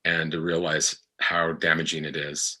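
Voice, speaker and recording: A man talking reflectively in a discussion, earnest and often pausing to search for his words. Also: a bass voice, level tone, sharp tone, slightly rough. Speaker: podcast actor, guest. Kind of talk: reflective discussion of a podcast episode.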